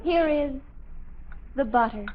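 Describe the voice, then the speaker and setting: An actress playing a dreamy, melodramatic American teenage daughter with a theatrical, wistful manner in a 1950s radio sitcom. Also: female voice, sits high, slow pace, noisy background. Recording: dull old radio recording.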